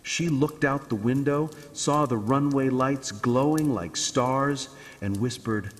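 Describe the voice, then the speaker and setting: A man narrating a scripted bedtime story in a calm, soothing, dramatic way. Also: mid-range voice, takes it slowly, long reverb tail, a little hiss or hum.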